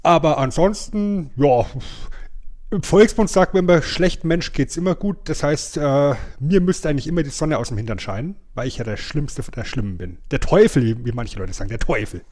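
A man's voice: on the high side, animated, normal speed, darkish tone. A middle-aged man co-hosting a podcast, chatting casually with a wry, self-deprecating manner. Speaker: podcast co-host. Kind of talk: casual chat.

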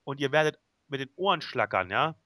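A man's voice: mid-range voice, animated, a little fast, bright, forceful tone, no echo. A man hosting a casual podcast chat, talking conversationally and often checking for agreement. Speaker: podcast host.

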